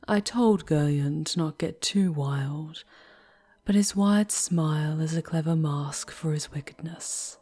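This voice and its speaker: A woman playing a charming, teasing demon guide in an ASMR roleplay, speaking intimately in formal, old-fashioned phrases with a breathy, often whispery voice. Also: sits low, warm tone. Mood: teasing, affectionate. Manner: slow, formal, old-fashioned storybook diction.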